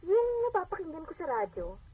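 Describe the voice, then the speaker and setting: An actress playing a devout, lively working mother and bossy older sister in a radio drama, speaking in an expressive, conversational way. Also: very high voice, fairly quick, no echo, heavily acted. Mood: chatty, curious. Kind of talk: Filipino radio drama acting. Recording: dull radio playback, distant, music behind.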